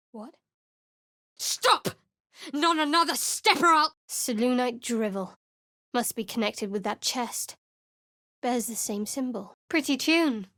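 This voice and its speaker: A woman's voice: fairly high pitch, no echo. A secretive young cleric in a fantasy game, clipped and sardonic, keeping everyone at arm's length. Her voice sits a little above the usual, and the studio sound is close and completely dry. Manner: dry, wry and curt, quietly secretive. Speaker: game character.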